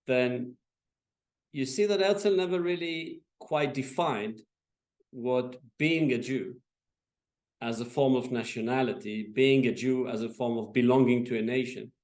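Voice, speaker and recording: A man giving measured academic remarks at a panel, thoughtful, with frequent fillers and restarts. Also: mid-range voice, darkish tone. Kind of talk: lecture remarks.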